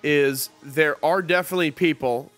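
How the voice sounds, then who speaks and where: A man's voice: on the high side, normal speed, a bit bright, faint music behind. A young man in casual couch chat with friends, joking in a playful way and sometimes slipping into silly voices. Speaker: gaming house guest. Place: living room couch with others.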